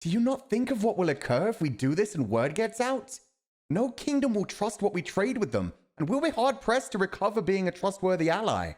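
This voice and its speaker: A man's voice: high-pitched, very animated, brisk, darkish tone. A man acting a principled, earnest army commander advising a monarch in a fantasy audio roleplay, speaking in a formal, emphatic, righteous way. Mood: indignant.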